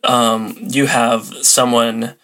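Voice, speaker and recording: A man with a medium-pitched voice chats as a podcast guest. He explains in a casual, conversational way, often pausing and thinking aloud as he goes. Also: level tone, sharp tone. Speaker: podcast guest, old-time radio enthusiast.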